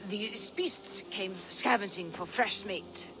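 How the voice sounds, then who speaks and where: A woman's voice: mid-range voice, takes it slowly, background noise, natural voice. An actress voicing a wary, shaken Saxon peasant woman in a video game. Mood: wary, accusing. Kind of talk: dramatic video game acting.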